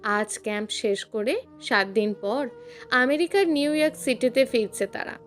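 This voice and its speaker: A woman's voice: a little high, measured pace, faint music behind. A woman reading a scripted dramatic story as its narrator.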